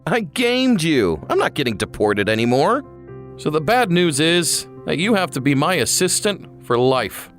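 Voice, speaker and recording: A man acting out a proud, easily rattled young star in an animated story. His voice swings widely and theatrically in an exasperated way, with music underneath. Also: a little high, a little slow. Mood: teasing, smug. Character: tall, vain, anxious young male celebrity, teasing and proud. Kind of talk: animated story character dialogue. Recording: voice over background music.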